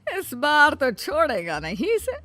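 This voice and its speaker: A woman acts out a scheming, quarrelsome mother-in-law from a cartoon moral tale. The voice is bright and piercing, with a scolding, defiant edge. Music plays behind it. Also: high-pitched, huge pitch swings, a little slow, no echo, voice pushed up, full character voice. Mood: gloating, scheming. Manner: sharp, scolding, complaining. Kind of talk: animated moral story character acting.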